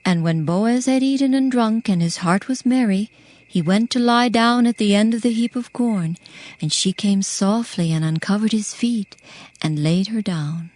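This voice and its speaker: A woman reading scripture as a narrator in a measured, formal storytelling manner. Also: medium pitch, darkish tone.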